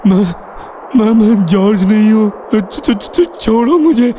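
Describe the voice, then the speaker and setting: A man playing a drinking gang crony who gets possessed, in an animated horror story. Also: high voice, slow pace, slight background noise. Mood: terrified.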